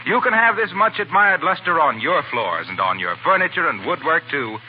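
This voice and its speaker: A man's voice: a little high, very animated, normal speed, dull audio, slight background noise. An American man working as a 1940s radio announcer, reading scripted advertising in a polished, persuasive way. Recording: band-limited 1940 radio broadcast.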